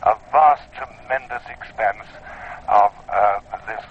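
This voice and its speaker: Male expedition reporter, grand and halting, ad-libbing on location; narrow phone-like vintage sound, faint noise. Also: on the low side. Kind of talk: unscripted on-location radio commentary. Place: outdoors, sheltering under a blanket in wind. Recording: phone-like, noisy vintage field recording. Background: noise, wind.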